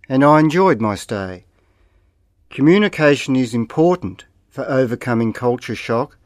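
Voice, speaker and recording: A man reading scripted model interview answers aloud in a slow, carefully enunciated way, like a language course recording. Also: medium pitch, dry recording, clean studio sound.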